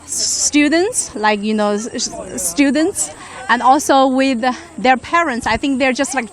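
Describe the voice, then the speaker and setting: A young woman hosting a live outdoor walk-and-talk in a casual, chatty style. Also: sits high. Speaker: live-stream host, reporter. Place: outdoors on a university campus. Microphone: handheld microphone.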